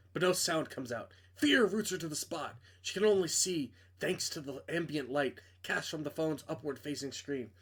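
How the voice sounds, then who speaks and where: A man's voice: fairly high pitch, fairly bright tone, slightly rough. A man reading a visual novel aloud as dramatic narration, with off-the-cuff asides and stumbles that he corrects. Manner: stumbles over words and corrects himself.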